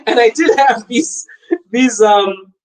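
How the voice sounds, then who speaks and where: A man's voice: very high-pitched, very slow, poor audio. A young man from Botswana hosting a casual livestream podcast, talking loosely, laughing often and reading viewer comments. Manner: animated, laughing often, wandering and talkative.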